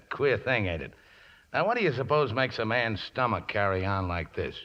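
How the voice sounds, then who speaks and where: Riverboat skipper, middle-aged, sheepish and unschooled, folksy delivery. Vintage radio drama, lo-fi sound. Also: male voice, on the low side, expressive, unhurried, pushed and bright. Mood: sheepish, chatty. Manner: folksy, hesitant, ungrammatical. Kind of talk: 1950s radio drama acting. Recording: muffled vintage radio broadcast.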